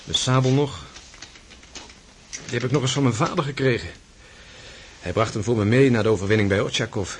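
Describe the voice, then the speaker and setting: An actor playing a composed, earnest young prince and officer in a radio drama, speaking in a husky, measured, reasoning way, courteous but firm. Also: male voice, mid-range voice, slight background noise, lightly acted.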